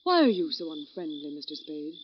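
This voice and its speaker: An actress playing a coy, scheming nurse in a radio detective drama, stammering breathlessly with feigned fright. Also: female voice, deep voice, very animated, fairly quick. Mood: coaxing, plaintive. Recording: muffled old radio, noisy background.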